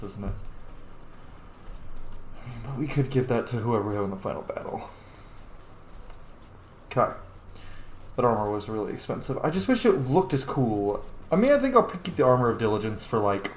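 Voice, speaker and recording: A man giving casual, playful commentary on a game and chatting to its characters as he plays. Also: lowish voice. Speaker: gamer narrating a playthrough. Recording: echoey room, background noise.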